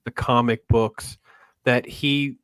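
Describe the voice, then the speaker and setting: A man's voice: medium pitch, fairly even intonation, takes it slowly, fairly bright tone, clean tone, no room sound. A middle-aged man on a fan discussion panel, talking casually but articulately.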